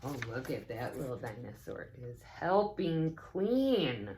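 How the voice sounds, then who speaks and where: A woman reading a picture book aloud to young children as a teaching assistant, with expressive, deliberate phrasing and chatty asides. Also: deep voice, a little hiss or hum. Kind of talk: children's story time read-aloud with asides.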